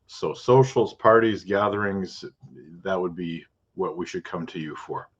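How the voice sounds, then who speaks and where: Podcast host guy, super deep voice, keeps rephrasing his questions, kinda muffled audio. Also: slightly rough. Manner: chatty, restarts his questions, quick acknowledgments. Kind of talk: casual interview.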